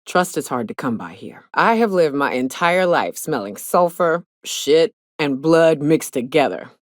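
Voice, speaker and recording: A hard-bitten wilderness guide in a video game, pitched low for a woman and swinging freely in tone. She is blunt, cynical and plainspoken, with rustic turns of phrase and a close, dry studio sound. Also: big pitch swings, unhurried, no room sound. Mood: wry, weary. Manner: wry, plainspoken, swearing, folksy rustic phrasing. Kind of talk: video game character dialogue.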